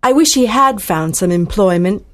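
An actress playing a meek, earnest young woman, a dutiful but stubborn daughter, gently spoken in a dramatized audiobook. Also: mid-range voice, lively intonation, moderate pace, no room sound, studio quality, close to an everyday voice.

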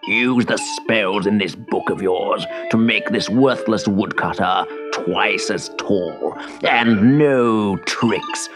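A storyteller voicing an evil, pompous toad baron in a children's story, snarling and scheming with a drawn-out, threatening delivery. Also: fairly even intonation, over music, exaggerated voice. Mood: menacing. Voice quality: low, croaky, gravelly.

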